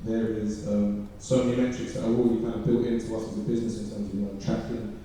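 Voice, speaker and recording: Man, panel speaker, quick rambling delivery, dark low voice, echoey hall, distant mic. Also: level tone. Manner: rambling, many fillers and restarts. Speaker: panelist, engineering firm. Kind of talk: panel discussion. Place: large echoey event room. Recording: echoey hall, voice from afar, faint hiss.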